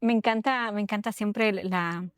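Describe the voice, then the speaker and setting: A middle-aged woman from Ecuador, telling her personal story haltingly as an interview guest, often checking in with tag questions. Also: medium pitch, smooth, dry recording, studio quality.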